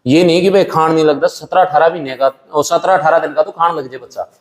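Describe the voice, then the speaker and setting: A man's voice: a little high, fairly even intonation, fast talker, big-room sound. A man with a Haryanvi accent, a dairy farmer giving practical advice in a plain-spoken, colloquial way in a casual interview. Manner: plain-spoken, colloquial, often addresses listener as brother. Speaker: dairy farmer and buffalo breeder.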